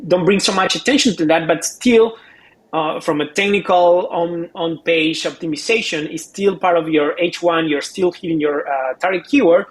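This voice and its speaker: Higher-voiced man explaining casually. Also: moderate pace, rough recording. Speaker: expert co-host. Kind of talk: casual expert commentary.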